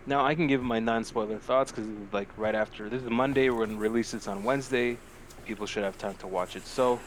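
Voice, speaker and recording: A man co-hosting a casual podcast, talking in an animated, slangy way and swearing freely. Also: lowish voice, slight background noise, low-quality recording. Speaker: podcast co-host. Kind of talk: casual movie-review banter.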